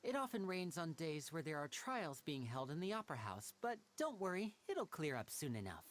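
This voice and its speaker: A woman voicing a playful, smooth-talking young male stage magician in an anime video game, breezy and polite. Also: deep voice, sing-song, moderate pace. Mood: gentle.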